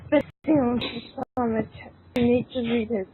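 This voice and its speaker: A woman's voice: a little high, steady tone, measured pace. A woman reading scripted narration for an amateur fan fiction story. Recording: thin phone-like sound with background noise.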